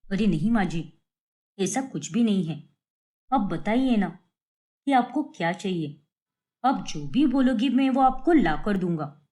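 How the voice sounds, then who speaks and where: A woman's voice: medium pitch, lively intonation, measured pace, long pauses, mellow, lightly acted. A woman voicing a polite, understanding young husband and son-in-law in a cartoon story. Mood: eager to please.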